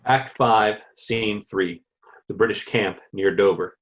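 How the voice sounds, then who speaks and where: A man's voice: fairly low pitch, takes it slowly, rough recording. A man reading stage directions as scripted narration for a play.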